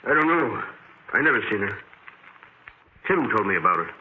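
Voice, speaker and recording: An actor playing a chatty, absent-minded bartender in a 1940s radio drama, rambling in offhand slang. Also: male voice, lowish voice, big pitch swings, moderate pace. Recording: tinny, hissy 1940s radio recording.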